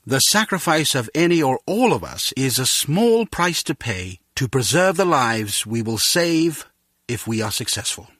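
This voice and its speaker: An actor voicing a courteous middle-aged spymaster in a video game, speaking in a measured, formal, gentlemanly way. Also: male voice, medium pitch, sing-song, no echo, clean studio sound. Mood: grave, consoling. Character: courteous middle-aged British spymaster, encouraging ex-field agent. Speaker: spy agency chief.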